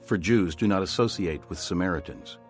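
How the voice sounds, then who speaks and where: A man narrating scripture from a script in a measured storytelling manner. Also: deep voice, soft background music.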